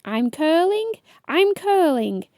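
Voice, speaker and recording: A woman's voice: very animated, slow, dark tone, no echo, studio quality, heavily acted. Woman doing a timid little hedgehog boy. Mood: frightened. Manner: nervous, earnest, squeaky when scared. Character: small brave young male hedgehog.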